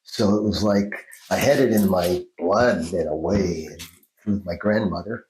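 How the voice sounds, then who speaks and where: An older man from Mexico with a Mexican accent, a Jungian analyst telling stories in an unhurried interview, often restarting his sentences. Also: low voice, darkish tone, roomy sound, slight background noise, low-quality recording. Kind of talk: storytelling interview answers.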